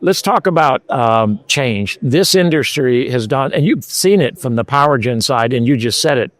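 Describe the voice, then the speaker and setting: A man's voice: mid-range voice, expressive, moderate pace, no room sound. A man from Scotland hosting interviews at a trade show, chatty and conversational with hesitant fillers.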